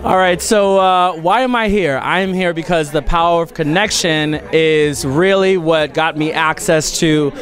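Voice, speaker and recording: A man in his forties, a boutique owner, speaking in a relaxed, friendly way into a handheld mic at an indoor event. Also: a little high, measured pace, few pauses. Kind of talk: event interview testimonial.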